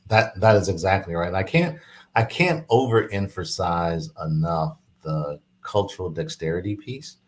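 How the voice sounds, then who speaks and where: A man speaking as an interview guest, explaining business matters in a conversational pitch. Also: animated, measured pace.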